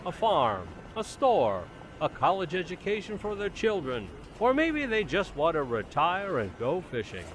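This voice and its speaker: A man's voice: high-pitched, wildly swooping pitch, unhurried, few pauses, a little hiss or hum, full character voice. A man playing an eager, self-reproaching family man in a vintage-style radio comedy, with an earnest, theatrical and easily flustered delivery. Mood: speechifying. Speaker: community theater actor.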